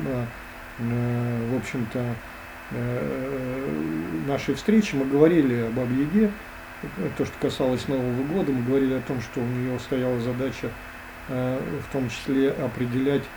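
A man talking as a guest in an interview, hesitant and full of drawn-out fillers. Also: medium pitch, background noise. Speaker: guest expert. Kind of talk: conversational interview.

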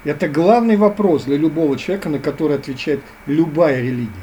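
A man's voice: fairly high pitch, quick pace, mellow, a little hiss or hum. A man speaking as a guest in a philosophical interview, earnest and lecturing, often circling back to the same phrases.

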